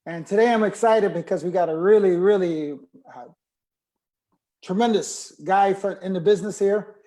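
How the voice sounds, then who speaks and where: A man's voice: high-pitched, normal speed, mellow. A man hosting a casual interview show, rambling a little and rephrasing his questions as he goes.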